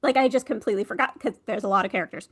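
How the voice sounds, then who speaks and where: A woman chatting casually as an interview guest about her work, animated and playful when she quotes her own reactions. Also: medium pitch, racing, slightly rough. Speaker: guest author, ghostwriter and audio drama producer.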